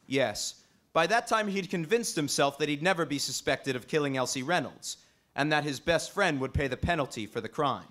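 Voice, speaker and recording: A man plays an amateur detective in an old radio mystery. The voice sits a little high for a man. The delivery is composed and assured, reasoning things out in measured phrases. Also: animated, a bit bright. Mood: assured, serious. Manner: measured, explanatory. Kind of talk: old-time radio drama acting.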